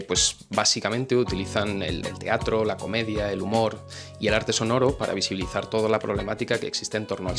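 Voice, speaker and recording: A male host with a Spanish accent from Spain and a lowish voice delivers an introduction to the audience. He talks quickly, with music clearly playing behind him. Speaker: podcast host introducing guests. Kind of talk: introductory presentation to camera or microphone. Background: music bed.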